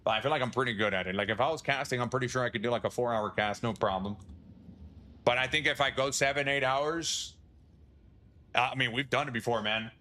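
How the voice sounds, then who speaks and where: A man's voice: medium pitch, brisk, bright, forceful tone. A male streamer chatting casually on a livestream, rambling, swearing and chuckling often.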